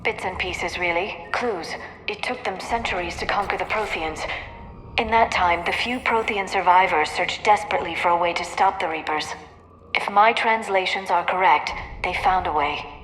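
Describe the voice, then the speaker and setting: A woman voicing a soft-spoken, earnest and troubled female alien scientist in a cinematic video game. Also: lowish voice, normal speed, slight background noise, close to an everyday voice. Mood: earnest, reflective. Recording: long hall reverb over game music.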